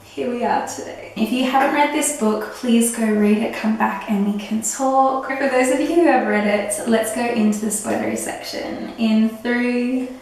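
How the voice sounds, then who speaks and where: A woman in her thirties, husky from a recent illness, chatting excitedly about a book. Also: fairly high pitch, normal speed, few pauses, slight background noise. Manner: gushing, excitable. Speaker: book reviewer. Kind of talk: casual book review chat. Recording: very echoey room.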